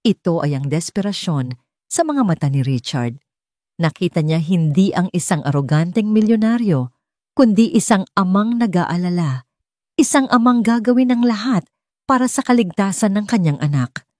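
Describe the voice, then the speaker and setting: A woman's voice: lowish voice, expressive, normal speed, darkish tone, no echo, studio quality. A woman with a Filipino accent reading a scripted romance story as an audiobook narrator.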